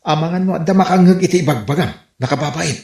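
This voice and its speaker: A woman acting a low, creaky, disapproving villager. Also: fast. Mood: scolding. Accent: Ilocano. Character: disapproving village woman shushing her gossipy friend. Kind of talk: Ilocano comedy radio drama. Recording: echoey, loud music underneath. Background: loud music.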